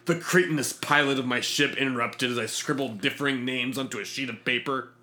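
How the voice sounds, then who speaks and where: Bright-voiced man narrating with pompous flair. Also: medium pitch, fairly even intonation, a little fast. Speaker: narrator reading a fictional diary. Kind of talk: scripted comic sci-fi audio story narration.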